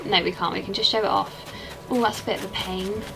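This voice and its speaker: A woman's voice: mid-range voice, fairly even intonation, fast talker, gravelly, over music, poor audio. A young woman with an English accent, chatting casually and rambling while she plays a game and answers her chat. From England. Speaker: streamer.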